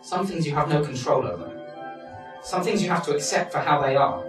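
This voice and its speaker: A man's voice: fairly high pitch, fairly quick, lightly acted. A young man acting an earnest, defiant character in love in a stage play. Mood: calm, reassuring. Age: young adult. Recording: echoey theatre stage, distant camera, music.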